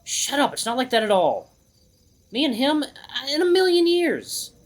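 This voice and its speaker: A man's voice: very high voice, sing-song, normal speed, pitched above the performer's own voice. A man voicing an anxious, stubborn young male hero in a visual novel, defensive and emphatic, repeating himself. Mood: defensive, upset.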